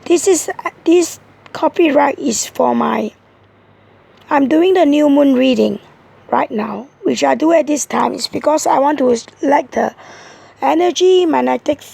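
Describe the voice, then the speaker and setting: A woman with a hoarse voice, giving a rambling, halting monologue as a tarot reader. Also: high-pitched, warm tone. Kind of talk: casual tarot video monologue.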